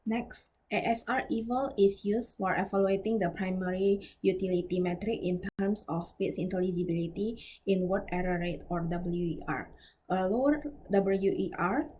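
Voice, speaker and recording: Woman reading out a research talk, kinda husky voice, formal and careful, a bit halting. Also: medium pitch, mellow. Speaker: researcher presenting a paper. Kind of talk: scripted academic presentation.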